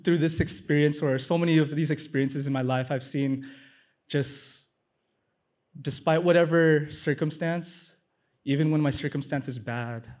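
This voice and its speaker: A man's voice: mid-range voice, darkish tone. A man giving a personal testimony of faith, earnest and repeating phrases for emphasis. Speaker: testimony speaker.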